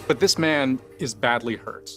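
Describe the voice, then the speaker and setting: A man hosting a news explainer, narrating from a script in deliberate, emphatic phrases with rhetorical questions. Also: medium pitch, fairly bright tone. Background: background music bed.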